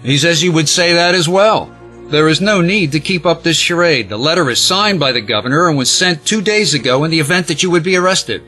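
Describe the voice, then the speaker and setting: Man as a sharp, officious police interrogator. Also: on the high side, animated, fairly quick, faint music behind, rough recording. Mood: apologetic, firm. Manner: formal, official. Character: officious, apologetic male police interrogator, stiffly patient. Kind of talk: audio drama acting.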